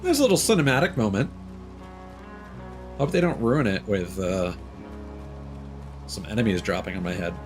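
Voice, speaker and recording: A man's voice: fairly low pitch, expressive, moderate pace, pauses often. A man streaming a video game, giving rambling, offhand commentary with lots of fillers and casual swearing. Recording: game music playing behind the voice. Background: game soundtrack guitar music.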